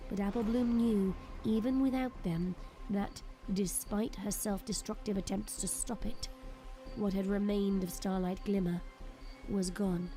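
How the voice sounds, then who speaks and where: A woman's voice: medium pitch, fairly even intonation, a little slow, low-quality recording. A woman giving scripted, dramatic story narration for an audio drama. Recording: loud music under the voice.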